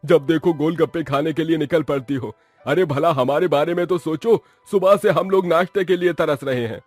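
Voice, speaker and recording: A man's voice: fairly high pitch, normal speed, no echo, soft background music, pitched above the performer's own voice, natural voice. A man voicing an exasperated, scheming middle-aged husband in a cartoon family comedy, with emphatic, complaining delivery.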